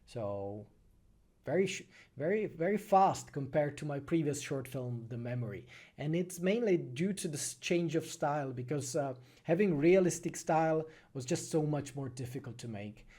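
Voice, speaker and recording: A man from the Czech Republic with a Czech accent, answering viewer questions casually on a livestream, phrasing things thoughtfully with frequent pauses. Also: a little high, lively intonation, dark tone. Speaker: animated film creator and streamer.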